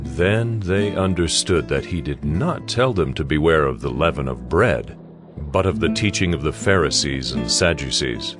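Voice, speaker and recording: Deep-voiced man narrating scripture in a measured, expressive cadence. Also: over music.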